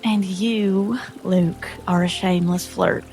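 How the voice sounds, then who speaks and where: A woman acts out a young huntswoman in a tabletop fantasy. She is eager, nervous and affectionate, speaking informally in a slightly low voice. Music sits behind her. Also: fairly even intonation, slow, darkish tone. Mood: playful.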